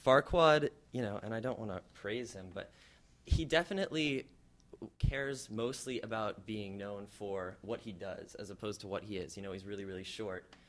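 A man's voice: lowish voice, normal speed, a bit bright. A teenage boy thoughtfully answering interview questions about his role. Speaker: student actor.

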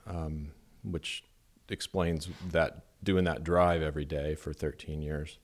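A middle-aged man from Las Vegas, an architect, talking in a measured, thoughtful way with many fillers in an interview. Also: extremely low pitch, level tone. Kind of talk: relaxed conversational interview.

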